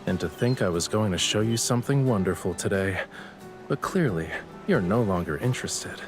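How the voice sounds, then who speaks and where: A man's voice: fairly low pitch, animated, normal speed, close to an everyday voice. A man playing a teasing, pouty and smug young love interest in a romantic audio drama. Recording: music under the voice. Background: background music.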